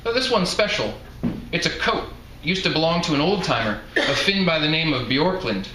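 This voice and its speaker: A man's voice: fairly high pitch, expressive, normal speed, pushed and bright. A man voicing a chatty, blunt elderly woman bartender in a folksy manner while reading a novel aloud. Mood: folksy, confiding. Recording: echoey room, faint background noise.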